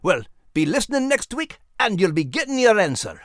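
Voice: medium pitch, sing-song, fairly quick, no echo, clean studio sound, lightly acted. A performer voicing a cheery Scottish character who hosts a story podcast. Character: cheery Scottish host addressing lads and lasses. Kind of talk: playful story podcast hosting.

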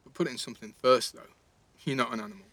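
A man's voice: medium pitch, rapid-fire, a bit bright, lightly acted. An actor playing a cheeky, blunt teenage boy with an English accent, teasing and slangy in a naturalistic audio drama. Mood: wry, probing.

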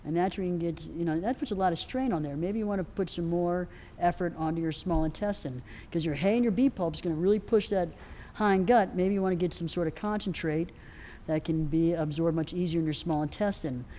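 A soft-spoken woman answering questions on a live panel, rambling with lots of fillers and restarts. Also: on the low side, a little fast, slight background noise. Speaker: equine nutrition expert answering questions. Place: outdoor event festival.

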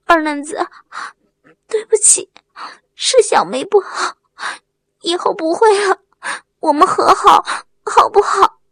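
A woman's voice: very high-pitched, slow pace, pauses often, fairly bright tone, no room sound, voice pushed up, full character voice. A woman narrator voicing a clingy, emotional young wife in an audiobook, pleading and crying out. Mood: sorry, tearful.

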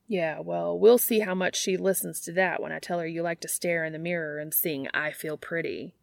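Woman voicing a blunt, exasperated older brother, creaky voice. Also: a little high, steady tone, normal speed, dry recording, clean studio sound. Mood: exasperated, threatening. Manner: sweary, blunt, sardonic. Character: gruff, exasperated older brother, sarcastic but soft-hearted.

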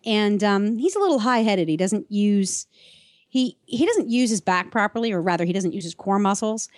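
A woman's voice: mid-range voice, expressive, normal speed, darkish tone, no room sound, studio quality. A woman hosting a podcast, chatting casually in a playful, colloquial way. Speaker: podcast host. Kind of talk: casual chat, conversational interviewing.

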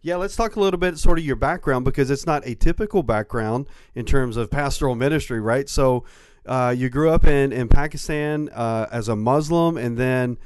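A man co-hosting a podcast, asking questions in an earnest, measured way. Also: medium pitch, clean tone, no room sound, clean studio sound. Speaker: podcast co-host. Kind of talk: casual podcast interview.

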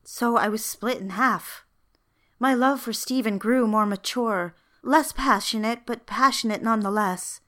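A woman's voice: mid-range voice, fairly bright tone, lightly acted. A woman playing a yearning secretary, confiding her diary in scripted first-person narration. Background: music.

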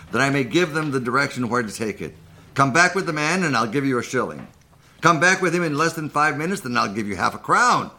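A man's voice: medium pitch, creaky, sharp tone. A man playing a repentant old miser in a live radio play of a Victorian story, earnest, emphatic and theatrical. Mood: giddy delight. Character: repentant old miser, pleading, then giddily joyful.

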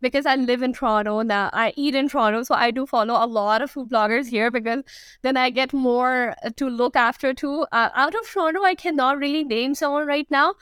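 Woman, 30s, Pakistani accent, hesitant, fillers, crisp dry sound. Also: sits high, fairly quick, fairly bright tone, no echo. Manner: hesitant, many fillers and restarts. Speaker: guest, food blogger. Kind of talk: casual interview answers.

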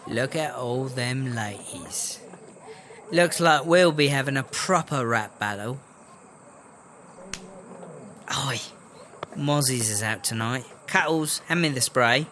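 A man's voice: medium pitch, a little slow, bright, forceful tone, a little hiss or hum. A man playing a brash, easily offended English battle rapper in a comedy audio drama, ranting in crude, sarcastic slang. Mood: irritated.

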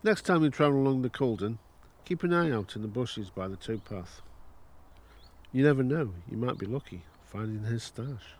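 A man's voice: mid-range voice, animated, normal speed, close to an everyday voice. A man playing a wry grown-up narrator looking back on his boyhood in an amateur audio drama. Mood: wistful.

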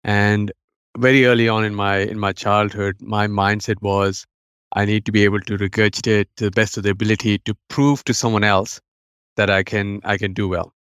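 A middle-aged man with an Indian accent speaks in a low, fairly flat voice, thinking aloud as an interview guest. His tone is smooth and clear, and the recording is close and dry. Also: normal speed, no room sound. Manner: reflective, many restarts and "you know". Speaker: guest, mental health educator. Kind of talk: podcast interview.

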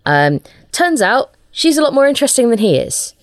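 A woman talking casually as an interview guest, halting now and then as she searches for her words. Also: on the high side, sing-song, no room sound, clean studio sound. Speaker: interview guest, audio drama writer.

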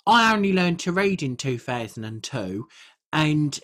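A woman's voice: low-pitched, big pitch swings, unhurried, fairly bright tone. A woman podcast co-host in casual comic banter, frank, colloquial and self-mocking.

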